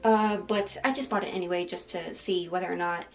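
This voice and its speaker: A woman's voice: lowish voice, fairly even intonation, a little fast. A woman hosting a sewing vlog in a chatty, jokey, self-deprecating way, moving between casual asides and scripted narration. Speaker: sewing vlogger and host.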